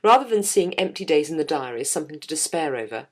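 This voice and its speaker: Middle-aged woman, very low voice, dry humorous read of her own blog. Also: big pitch swings, fast talker. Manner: wry, self-mocking humour. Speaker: jazz singer and podcast host.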